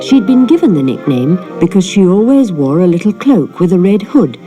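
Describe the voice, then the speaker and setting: A woman narrating a children's fairy tale in an expressive storytelling manner. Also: on the low side, moderate pace, music in the background. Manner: animated storytelling. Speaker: storybook reader.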